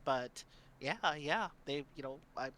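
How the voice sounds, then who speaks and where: A woman's voice: rumbling low, animated, unhurried, fairly bright tone. A woman chatting casually as a guest streamer, often repeating herself as she starts her sentences. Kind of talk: casual panel chat.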